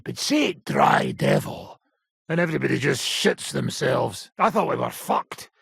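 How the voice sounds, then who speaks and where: An actor playing a gruff, battle-worn old robber baron in a video game, raspy, blunt, coarse and sardonic. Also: male voice, medium pitch, wildly swooping pitch, unhurried, a bit bright, no echo, clean studio sound, heavily acted. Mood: wry, reminiscing. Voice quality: gravelly, very raspy. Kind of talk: video game character acting.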